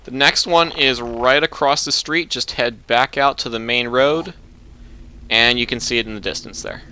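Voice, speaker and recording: Bright-voiced man guiding a game walkthrough. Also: mid-range voice, normal speed, a little hiss or hum. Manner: relaxed, instructive, matter-of-fact. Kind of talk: casual gameplay commentary.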